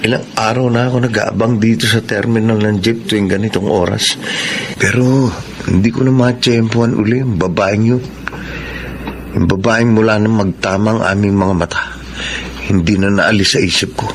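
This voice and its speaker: An actor playing an evasive, secretly smitten husband with a Filipino accent in a radio drama. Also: male voice, fairly low pitch, natural voice. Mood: wistful. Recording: clear background ambience noise.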